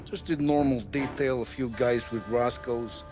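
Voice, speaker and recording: Brief: male actor as streetwise mob adviser, average pitch, matter-of-fact delivery, game cutscene with loud music. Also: a little slow, close to an everyday voice. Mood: businesslike. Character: streetwise, seasoned male 1930s gangster. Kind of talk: dramatic video game cutscene acting.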